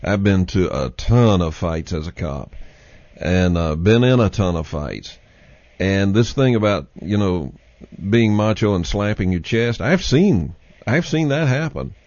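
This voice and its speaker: A man's voice: low voice, lively intonation, a little slow, darkish tone, no room sound, lo-fi sound. A man with a Texas accent hosting a call-in talk show, hesitant at first and then emphatic. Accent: Texan. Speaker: host. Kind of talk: casual chat on a call-in show.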